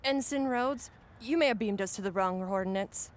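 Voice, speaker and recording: A woman's voice: medium pitch, normal speed, close to an everyday voice. A woman playing a skeptical, blunt starship crew member in a comedic sci-fi play, with a pointed, questioning manner.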